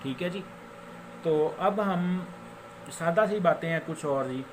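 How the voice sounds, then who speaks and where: A man with a Pakistani accent giving an informal law lecture, explaining with examples and switching into English legal phrases, which he reads slowly and haltingly. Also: on the high side. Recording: noticeable background noise.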